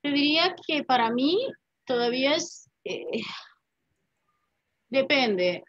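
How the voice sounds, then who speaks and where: A woman's voice: high voice, animated, slow, fairly bright tone, no room sound. A poet in her fifties, a woman, speaking thoughtfully on a video call from home, often ending phrases with a questioning tag. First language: Spanish. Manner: thoughtful, reflective, many "¿no?" tags. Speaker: poet and writer, guest. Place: home room with paintings. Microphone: laptop webcam mic on video call. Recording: dull dry video call audio.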